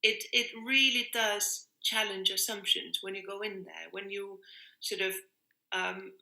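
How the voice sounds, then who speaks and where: A woman talks in a very bright, forward voice with a noticeable room echo. She is earnest and reflective, feeling for the right phrase and restating it for emphasis. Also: medium pitch, fairly even intonation, measured pace, vocal fry. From Iceland. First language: Icelandic. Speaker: interviewed music workshop leader. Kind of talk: reflective conversational interview. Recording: roomy, echoing sound.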